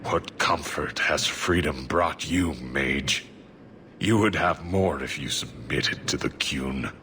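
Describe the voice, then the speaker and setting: Very deep, stoic horned giant. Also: male voice, bright, forceful tone, a little hiss or hum, full character voice. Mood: solemn, firm. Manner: halting, stoic, terse declarative phrasing. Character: solemn, devout male Qunari warrior mage, huge horned humanoid. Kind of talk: video game cutscene acting.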